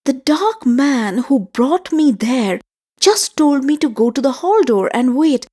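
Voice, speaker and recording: Village midwife played by a female storyteller, uneasy and suspenseful, higher-pitched, very unhurried, folktale with music underneath. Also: very animated, warm tone, heavily acted. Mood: startled, fearful. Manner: suspenseful, measured first-person recounting. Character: startled village midwife recounting an eerie encounter.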